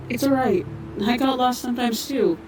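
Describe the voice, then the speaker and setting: A woman's voice: fairly high pitch, normal speed, recorded from a distance, music in the background, lightly acted, low-quality recording. A woman playing a friendly, helpful robot medic in an audio drama, with an easygoing conversational manner. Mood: friendly, teasing. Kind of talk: fan audio drama acting.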